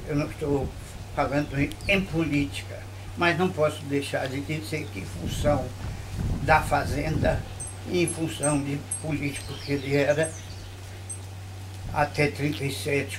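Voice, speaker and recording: An older man recalls local history, his voice a little high for a man. He talks slowly, in short phrases, and keeps checking in with tag questions. Noisy outdoor ambience sits clearly behind him. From Bahia, Brazil. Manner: unhurried, with frequent tag questions. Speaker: local storyteller interviewee. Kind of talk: reminiscing interview. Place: historic old mansion.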